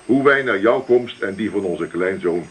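A man's voice: on the low side, lively intonation, normal speed, natural voice. A man playing a jovial, sentimental middle-aged father and grandfather in a Dutch radio drama. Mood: earnest, sentimental. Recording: noisy old radio play recording.

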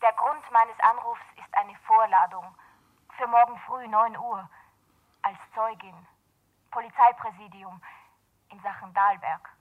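A woman's voice: fairly high pitch, fairly even intonation, unhurried, pauses often. An actress playing a poised, purposeful businesswoman, polite and businesslike, heard through a telephone filter in a 1970s German radio crime drama. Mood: composed, purposeful. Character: poised, purposeful businesswoman, a fashion studio owner. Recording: tinny, narrow telephone line.

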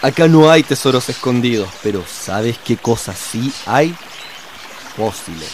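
A man's voice: mid-range voice, expressive, measured pace, a little hiss or hum, natural voice. A man playing a good-natured, middle-aged father with a Chilean accent who explains things to his family.

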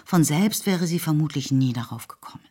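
Low-voiced woman narrating a literary radio drama. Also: expressive, brisk, warm tone, husky. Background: music.

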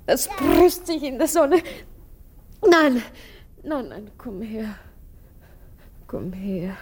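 A woman's voice: very animated, very slow, darkish tone, breathy edge, exaggerated voice. An actress in a German radio play voicing an anguished, guilt-ridden young mother, pleading and often dropping to a whisper. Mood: troubled, murmuring. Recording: noisy recording with low rumble.

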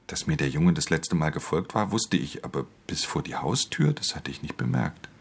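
Very deep, gravelly, brooding thief. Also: male voice, sing-song, normal speed, exaggerated voice. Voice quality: very deep, gravelly, breathy in hushed passages. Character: brooding, weary male thief narrating his own story. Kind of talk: radio drama first-person narration.

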